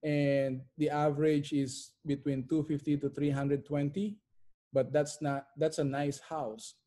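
Middle-aged guy on a video call interview, mellow soft-edged tone, slightly high voice, repeats stuff to make his point. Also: little pitch movement, measured pace. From immigrant to Canada. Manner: emphatic, repeats phrases for effect. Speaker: interview guest, real estate investor. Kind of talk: conversational interview answers. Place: bright living room at home.

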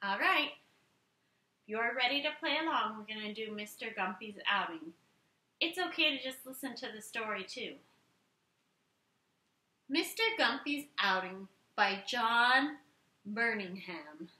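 A woman reads a picture book to young children in a high, very bright voice. She is expressive and deliberate, taking her time and guiding listeners to play along. The sound is roomy, recorded in a living room a little way from the camera. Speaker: read-aloud teacher for young children. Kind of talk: animated children's story read-aloud with play-along prompts. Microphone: camera a few feet away.

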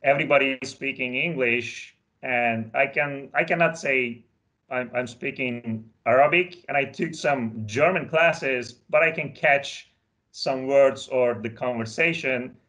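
A man's voice: medium pitch, measured pace, a bit bright, rough recording. Man, 30s, Turkish native raised in Bulgaria, patient technical explainer on a webcam call from a home office. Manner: careful, explanatory, handles equipment while talking. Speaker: product specialist guest. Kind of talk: technical demo and interview talk. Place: small home office, indoors. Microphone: webcam on video call.

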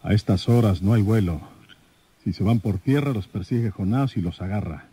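An actor playing a plain-spoken rural detective, folksy, assured and persuasive, in an old radio drama. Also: male voice, fairly low pitch, normal speed, dark tone, breathy edge. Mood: firm, persuasive. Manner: folksy, assured, rustic speech.

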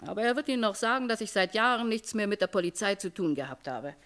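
A woman's voice: medium pitch, quick pace, fairly bright tone, natural voice. An actress playing a jaded, world-weary woman shop owner with a shady past, dismissive and brusque, in a German radio crime drama. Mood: curt, defensive.

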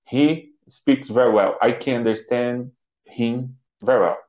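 A Brazilian man in his thirties, a native Portuguese speaker, talks through an online English lesson in halting English with many restarts. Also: medium pitch, darkish tone. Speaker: English student. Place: home office with bookshelves. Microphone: laptop webcam mic on a video call. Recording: roomy, dull video-call audio.

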